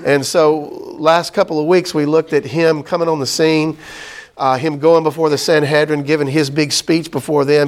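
Man, middle-aged, slightly higher voice, relaxed Bible class teaching, lots of ums and restarts. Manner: conversational, many fillers and restarts. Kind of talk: casual Bible study lecture.

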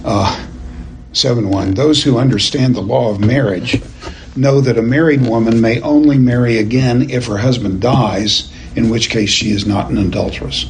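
Halting, emphatic male Bible teacher. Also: mid-range voice, a little hiss or hum, low-quality recording. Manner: deliberate, halting, emphatic, asking questions of listeners. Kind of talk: expository lecture to a class, verse by verse.

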